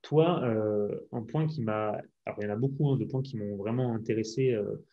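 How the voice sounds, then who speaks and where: A man's voice: fairly low pitch, moderate pace, dull audio. A man from France hosting a fitness podcast, asking long rambling questions full of fillers. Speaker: podcast host. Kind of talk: casual podcast interview.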